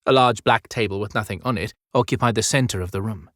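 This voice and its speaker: A man's voice: on the low side, fast, fairly bright tone, dry recording. Male audiobook narrator, dry sound. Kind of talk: scripted story narration.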